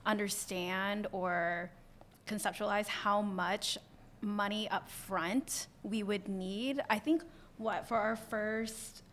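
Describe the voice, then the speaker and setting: Young woman student guest from Maine, mid-pitched, easygoing chatty delivery. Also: fairly even intonation, slow pace, a bit bright, a little hiss or hum. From Portland, Maine. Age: young adult. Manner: chatty, with "like" and "um" fillers. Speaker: optometry student, podcast guest. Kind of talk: casual podcast chat.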